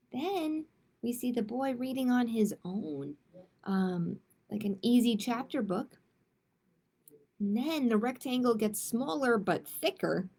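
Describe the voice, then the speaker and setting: A woman's voice: a little high, vocal fry, darkish tone, husky. A woman hosting a children's storytime, reading picture books aloud and chatting expressively and directly with young listeners. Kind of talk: children's read-aloud with casual chat.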